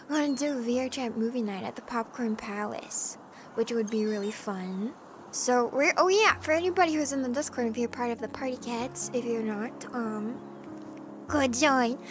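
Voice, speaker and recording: A woman streamer chatting casually with her live chat, with a hoarse voice and a playful cat persona. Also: on the high side, noisy background. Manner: chatty and playful, often clearing her throat. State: hoarse from allergies. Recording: music playing in the background.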